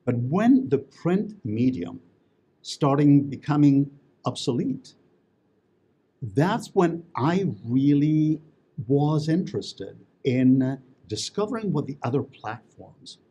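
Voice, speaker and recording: A man's voice: mid-range voice, sing-song, takes it slowly, mellow, breathy edge, muffled recording. A man talking as an interview guest, telling stories in a chatty way with many fillers and restarts. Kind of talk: casual interview conversation.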